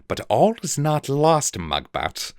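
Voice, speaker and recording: A man voicing a scheming male villain who stays icily calm and hisses his threats. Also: a little high, takes it slowly, no room sound, voice pushed up, exaggerated voice. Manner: icily calm, hissing threats, then screaming denials. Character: sly cold male villain, spectacled mutineer.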